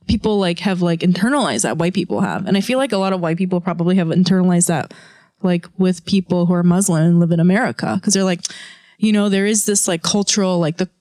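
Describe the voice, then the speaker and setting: A woman's voice: fairly low pitch, quick pace, dark tone, dry recording, clean studio sound. A woman co-hosting a podcast, talking frankly in casual conversation, with lots of fillers and casual swearing. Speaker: podcast co-host.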